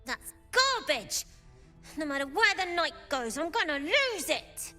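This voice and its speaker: High, brash game character. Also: female voice, sing-song, measured pace, bright, forceful tone, faint music behind, heavily acted. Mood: exasperated. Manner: cocky, informal, exclamatory. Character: brash, cheeky, competitive female game character. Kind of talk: video game acting.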